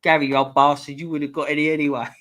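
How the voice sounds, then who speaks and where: A middle-aged man hosts a casual live stream quiz, bantering with viewers and swearing freely. His voice is going a bit hoarse. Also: on the high side, level tone, rapid-fire, fairly bright tone. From England. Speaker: livestream host.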